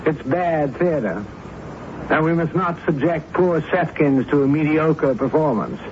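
A man's voice: a little high, animated, a little slow, a little mellow. A man playing a suave, unflappable drama critic with a dry, condescending manner in a radio play. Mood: mocking, unruffled. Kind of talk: 1970s radio drama acting. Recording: dull, faintly hissy radio recording.

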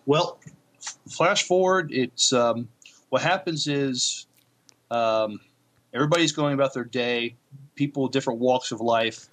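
A man co-hosting a podcast, chatting casually about shows in a hesitant, halting way. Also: medium pitch, fairly bright tone. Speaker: podcast co-host. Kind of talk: casual chat reviewing TV shows.

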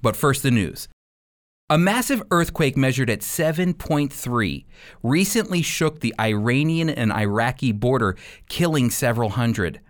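A man's voice: mid-range voice, expressive, unhurried. A man hosting a radio show and teaching the Bible, emphatic and repeating key points for stress.

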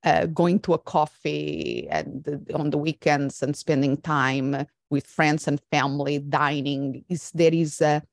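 A woman from Portugal with a Portuguese accent, hosting a conversational interview in a reflective, halting way. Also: sits low, lively intonation, dry recording, studio quality. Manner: reflective, halting with filler words. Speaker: podcast host.

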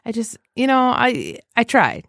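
A woman hosting a podcast, chatting casually and telling anecdotes in an animated way, often acting out what people say. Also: on the high side, unhurried, no room sound, studio quality. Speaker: podcast host.

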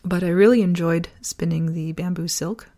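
A woman hosting a personal podcast, talking earnestly and deliberately, her voice partly gone from a sore throat. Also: lowish voice, steady tone, warm tone. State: losing voice from a sore throat virus. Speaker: podcast host. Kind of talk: personal podcast, reflective essay and casual chat.

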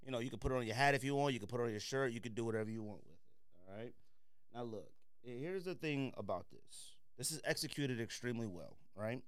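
A man with a smooth, clear voice speaks in a dry, close-sounding space. He hosts a casual review podcast, giving firm opinions in relaxed slang and hammering points by repeating them. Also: medium pitch, quick pace, dry recording. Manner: opinionated, slangy, emphatic repetition. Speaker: podcast host. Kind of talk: casual sneaker review chat.

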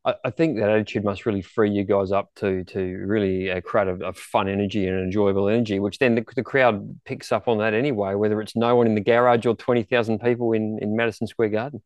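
A man's voice: lowish voice, flat delivery, fast, few pauses, darkish tone, dry recording, lo-fi sound. A man interviewing a guest casually as co-host of a podcast.

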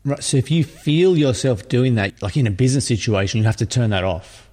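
A man's voice: mid-range voice, lively intonation, fairly quick, a little mellow. A man with a mid-range voice interviewing a guest on a podcast. He talks casually and conversationally.

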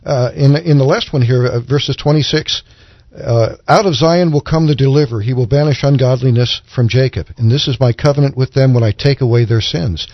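A man speaking as a guest Bible commentator, explaining scripture off the cuff in a measured, point-by-point way. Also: mid-range voice, dry recording, lo-fi sound.

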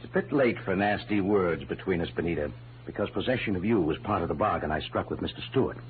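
An actor playing a smug, suave, mocking blackmailer in a 1940s radio crime drama, on a muffled old recording. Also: male voice, sits low, animated, fast, a little hiss or hum. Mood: smug, gloating. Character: smug, gloating male blackmailer.

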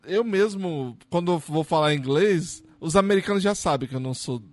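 Slightly high Brazilian man, friendly host. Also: normal speed, no echo. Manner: friendly, laughs easily, stumbles and restarts. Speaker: podcast host. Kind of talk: casual chat.

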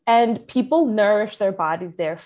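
A woman's voice: mid-range voice, moderate pace, darkish tone, muffled recording. A woman talking about health in a casual, emphatic conversation full of fillers. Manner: chatty, emphatic, frequent fillers. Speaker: health content creator.

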